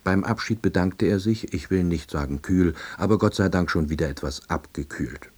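A man playing a wry, self-deluding office worker who narrates his story in a deadpan, reflective way. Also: deep voice, normal speed, breathy edge. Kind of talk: first-person radio play narration.

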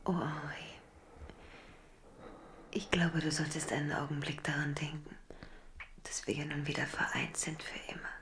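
An actress playing an amorous, tender and possessive young queen in a German radio drama, in a breathy whisper. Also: low-pitched, level tone, normal speed, long pauses, noisy background.

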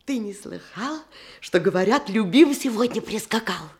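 An actress playing a curious, fussing gentlewoman in a theatrical period radio comedy, coy and inquisitive in delivery. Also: mid-range voice, lively intonation, moderate pace, natural voice. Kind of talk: theatrical Russian radio comedy acting in verse.

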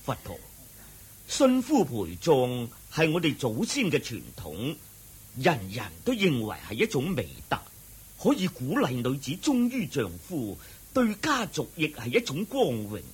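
A man's voice: a little high, huge pitch swings, unhurried, husky, heavily acted. A man playing a stern clan chief in a Cantonese radio drama, formal and pompous, with a Hong Kong accent. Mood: insistent.

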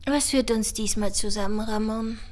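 An actress playing a gifted, coolly factual cold case analyst in a radio drama, speaking precisely like a researcher. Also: female voice, on the high side, steady tone, normal speed, a little mellow, lightly acted.

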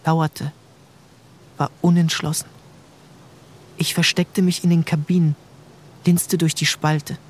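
A young actor narrating in first person as a troubled young man in a literary radio drama, calm and measured. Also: on the high side, slight background noise. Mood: reflective. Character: troubled young man looking back on his youth.

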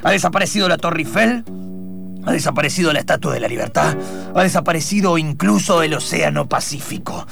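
Bossy, exasperated radio-play police chief acted by a man: Argentine, slightly high gravelly voice, pompous scolding. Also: expressive, fairly quick, over music. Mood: exasperated, urgent. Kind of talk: improvised comedic radio theatre.

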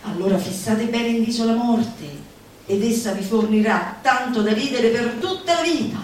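An actress performing a manic female stage orator, high-voiced and declamatory. She stretches key words for emphasis, heard from far off in a very echoey space. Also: normal speed, natural voice. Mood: solemn. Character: manic, theatrical female futurist orator exalting laughter at suffering. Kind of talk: theatrical comic monologue before an audience. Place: roomy performance space, recorded from a distance. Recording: echoey hall, far mic, faint noise.

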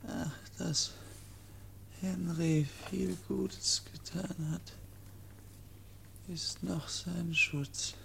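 An actor playing a gentle dying young man in a radio play, exhausted and feverish, speaking in halting, broken phrases. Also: on the high side, fairly even intonation, vocal fry, heavily acted. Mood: exhausted, fading. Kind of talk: German radio play acting. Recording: noisy old radio drama recording.

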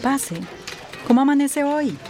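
A woman's voice: high voice, lively intonation, unhurried, darkish tone. An actress playing a courteous, inquisitive professional woman in a radio drama, speaking formally. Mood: polite, interested. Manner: polite, formal, questioning. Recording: faint music behind.

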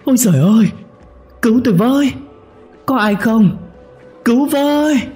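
A man's voice: lowish voice, expressive, moderate pace, darkish tone, faint music behind, close to an everyday voice. A man voicing an anxious, caring older village husband in a horror audio story. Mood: terrified screaming.

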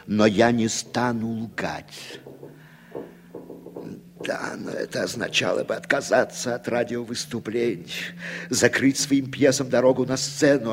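A man acting a cowardly, hard-drinking playwright in a 1960s Soviet radio drama, theatrical, sarcastic and full of self-contempt. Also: lowish voice. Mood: brooding, defiant, then anguished, self-loathing. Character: cowardly, bitter, hard-drinking male playwright turning informer. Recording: reverberant 1960s radio play recording.

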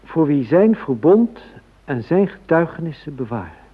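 A man acting a devout psalm reader in a radio play, reciting in a measured, reverent, declamatory way. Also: on the high side, big pitch swings, husky. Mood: solemn, reverent. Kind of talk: solemn scripture recitation in radio drama. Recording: thin, phone-like old radio recording.

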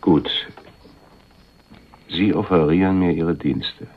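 Menacing businessman, radio thriller, extremely low pitch, formal sardonic delivery, muffled dull recording. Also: fairly even intonation. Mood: probing, businesslike. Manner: formal, deliberate, drily sardonic. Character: menacing, coolly businesslike man weighing hiring a killer. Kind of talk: 1980s German radio crime drama.

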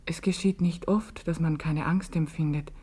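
An actress playing a serene, contemplative woman in a literary radio play, with calm, deliberate, poetic delivery. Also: low voice, husky.

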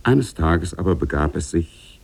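An actor playing a calm storyteller, telling an old tale in measured phrases for a 1950s radio drama. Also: male voice, a bass voice, vocal fry, slightly rough, full character voice. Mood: calm, narrating. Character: calm male storyteller of an Arabian Nights tale.

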